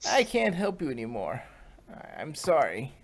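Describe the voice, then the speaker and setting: A man's voice: fairly high pitch, huge pitch swings, normal speed, vocal fry, heavily acted. A man voicing a kindly, protective grandmother pony in a story reading, sorrowful but firm. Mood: sad, resolute.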